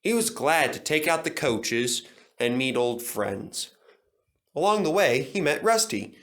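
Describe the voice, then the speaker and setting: Brief: male storybook narrator, lively and bright, pitched a bit high. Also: measured pace. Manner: animated, expressive. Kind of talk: storybook reading.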